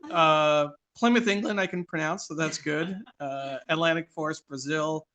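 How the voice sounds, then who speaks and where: A man hosting a casual interview show, conversational and halting as he asks questions and reads things out. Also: on the high side, a crowd in the background. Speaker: guest host of a live read-along show. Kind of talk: casual interview, reading a list aloud with filler pauses.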